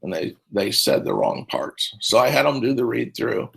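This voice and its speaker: A man's voice: mid-range voice, very animated, moderate pace, gravelly, a bit bright, breathy edge, low-quality recording. A middle-aged man, a speech and debate coach, giving a rambling conference talk full of fillers and restarts.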